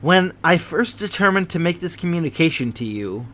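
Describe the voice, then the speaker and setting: A man doing a fussy, nervous gentleman. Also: a little high, sing-song, moderate pace. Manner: prim, precious and fretful. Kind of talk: audiobook character voice.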